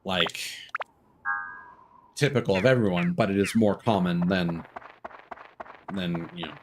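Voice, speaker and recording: A man commentating casually on a game livestream, rambling in halting asides with repeated words. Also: deep voice, music in the background. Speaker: game streamer.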